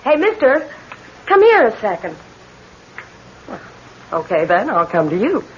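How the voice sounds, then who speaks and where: An actress playing a plucky, wry wife held hostage in a 1970s radio drama. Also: female voice, mid-range voice, sing-song, a little slow. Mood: determined. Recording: muffled, hissy 1970s radio recording.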